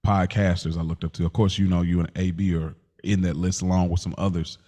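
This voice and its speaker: A man's voice: low-pitched, level tone, fast, warm tone. An American man in his thirties co-hosting a podcast, chatting casually in informal, slangy speech. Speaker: podcast co-host. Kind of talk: casual banter and chat between friends.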